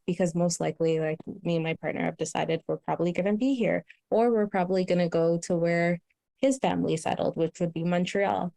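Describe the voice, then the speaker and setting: A young woman from Canada talks things through slowly, with lots of "like" and restarts. Her voice sits fairly low, and the sound is close and dry with no room around it. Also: creaky, dark tone, no echo. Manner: thoughtful, many fillers and restarts. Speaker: host. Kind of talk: casual conversation.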